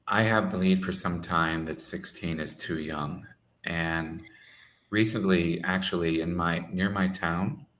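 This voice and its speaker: An American man tutoring English over a video call, speaking simply and clearly for a learner. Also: deep voice, a bit bright. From United States. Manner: slow, simple phrasing for a learner. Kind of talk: casual conversation lesson. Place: home in Saudi Arabia.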